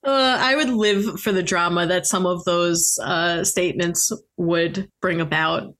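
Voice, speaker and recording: A woman's voice: on the low side, a little slow, a bit bright. A woman co-hosting a podcast in casual comedic banter, giggly and often exclaiming. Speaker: podcast co-host.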